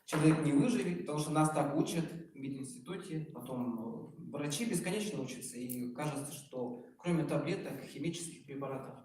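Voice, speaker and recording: A man in his forties with a fairly high voice speaks to a room as a doctor giving an informal testimonial. His delivery is halting but emphatic, with some self-irony. The sound is heavily echoed and picked up far from the microphone. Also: darkish tone, a little hiss or hum. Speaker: doctor speaking at a promotional presentation. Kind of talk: informal public testimonial talk. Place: echoey indoor presentation room.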